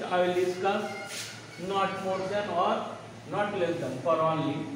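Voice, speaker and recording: Male teacher in his 40s, high voice, unhurried and repetitive, heard from across a noisy room. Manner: slow, repeats phrases while writing on a board. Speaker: school English teacher. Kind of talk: classroom grammar lesson. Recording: echoey room, distant mic, background noise.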